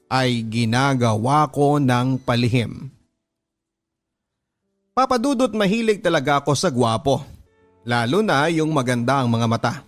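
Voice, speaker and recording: A man with a Filipino accent reading a scripted first-person letter as a radio drama narrator. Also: mid-range voice. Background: background music.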